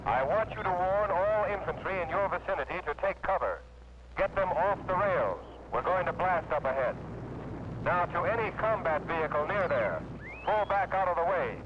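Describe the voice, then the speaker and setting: A man's voice: sits high, expressive, normal speed, dull audio, background noise. A man giving clipped, commanding military orders over a 1940s field radio.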